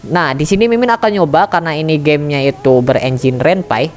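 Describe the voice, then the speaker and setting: A woman's voice: low-pitched, a little mellow. A woman giving a casual, chatty step-by-step tutorial, talking straight to her viewers. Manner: chatty, addresses viewers directly. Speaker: tutorial channel admin.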